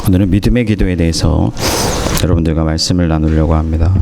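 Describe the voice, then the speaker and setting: A man preaching a sermon as pastor, hoarse from a cold, speaking in measured phrases with pauses and fillers. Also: sits low, steady tone, heavy background noise. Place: church in Moscow.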